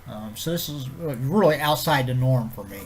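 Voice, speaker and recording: A man with a stuffed-up head cold talks on, his voice nasal and congested. His pitch sits mid-range for a man. He rambles in a low-key, easygoing way and swears casually. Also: a little fast, a little hiss or hum, low-quality recording. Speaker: vlogger. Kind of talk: rambling casual chat to camera.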